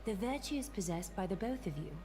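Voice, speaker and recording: A woman's voice: medium pitch, lively intonation, fairly quick, lightly acted. A woman voicing a serene, gracious seer of a holy city, speaking formal, poetic fantasy game dialogue.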